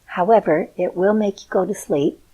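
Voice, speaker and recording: A middle-aged woman talking to her viewers in a slow, soothing and encouraging way. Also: medium pitch, dark tone, husky, dull audio. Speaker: video host. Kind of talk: heartfelt personal talk to viewers.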